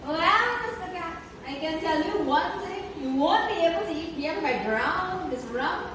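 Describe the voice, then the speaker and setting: Woman putting on a high, drunken, sly storybook rat. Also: animated. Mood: drunken pleading. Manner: slow, drawn-out, pretend-drunk speech. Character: sly male rat feigning drunkenness, pleading and wheedling. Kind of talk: storybook character voice. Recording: echoey room, distant mic, clear background noise.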